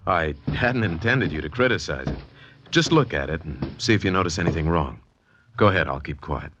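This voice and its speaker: Haunted, anxious artist, low voice, earnest and halting, old-time radio mystery, dull vintage sound. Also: male voice, animated, background noise. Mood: uneasy, insistent. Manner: earnest, troubled, hesitant. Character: anxious, haunted male painter doubting his own sanity. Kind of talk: 1940s radio drama acting.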